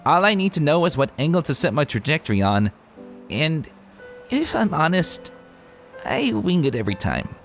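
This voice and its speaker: A brash young pegasus mare, acted out by a man, chatty and teasing. The pitch is lifted and swoops around in a lively way. It plays as a storybook dramatic reading over music. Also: moderate pace. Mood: joking, animated. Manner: breezy, cheeky, chatty. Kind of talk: dramatic reading of fan fiction.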